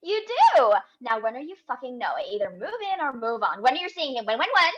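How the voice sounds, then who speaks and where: A woman's voice: fairly high pitch, very animated, quick pace. A woman acting a brash, pushy young tech entrepreneur with a bossy, gushing manner in a comedy table read, on a lo-fi microphone. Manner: loud-mouthed, bossy, gushing. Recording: dull, lo-fi remote microphone.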